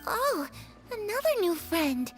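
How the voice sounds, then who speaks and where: A woman voicing a soft, innocent, ghostly girl in a video game, speaking in a hesitant, hushed way. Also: very high voice, sing-song, slow pace, over music, full character voice.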